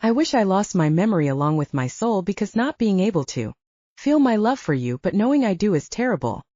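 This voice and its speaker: Woman reading as a young audiobook heroine, wry, anxious, bossy, lively pitch, soft-edged tone. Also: a little fast, no echo, highs cut off. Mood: sorrowful.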